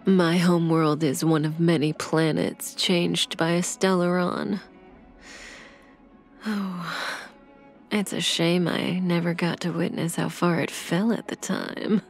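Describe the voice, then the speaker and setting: An actress playing a calm, seductive female assassin in a video game, with a breathy, velvety voice and a languid, teasing delivery. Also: on the low side, slow pace. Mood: calm, reflective. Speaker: game character voice actor. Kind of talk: scripted video game character voice lines. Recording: clean studio voice over game music.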